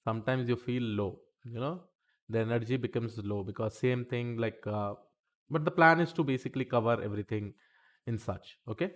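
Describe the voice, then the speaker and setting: A man's voice: medium pitch, normal speed. Chatty man teaching a live lesson. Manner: chatty, repeats okay, talks to the chat between points. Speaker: instructor teaching statistics.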